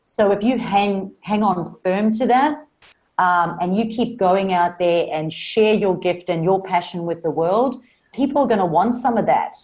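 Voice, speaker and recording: A woman's voice: mid-range voice, a little slow, darkish tone, low-quality recording. A woman with a South African Australian accent, a marketing coach speaking as a guest in a podcast interview, earnest and upbeat.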